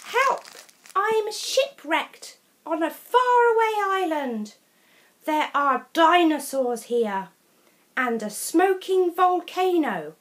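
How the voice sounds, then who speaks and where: A woman plays an excitable young girl from a children's storybook. The voice is very high and swooping, urgent and determined, with emphatic pleading. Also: full character voice.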